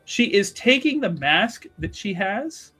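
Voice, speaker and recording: Slow, higher-voiced man narrating a roleplaying game. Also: sing-song, sharp tone, slightly rough, faint music behind. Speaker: tabletop roleplaying player. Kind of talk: narrating own character in a tabletop game.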